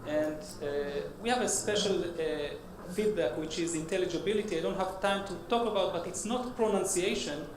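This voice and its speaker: A man with a Hebrew accent from Israel giving a startup pitch at a conference, halting and full of uh fillers. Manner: halting, many uh fillers and restarts. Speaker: startup CEO presenting. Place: conference hall stage. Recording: echoey hall with noisy background.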